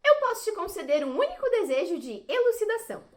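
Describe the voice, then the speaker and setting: A woman's voice: extremely high pitch, big pitch swings, quick pace, pitched above the performer's own voice. A woman voicing a formal, theatrical fairy who grants wishes with grand declamation in an audio play. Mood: grandly formal.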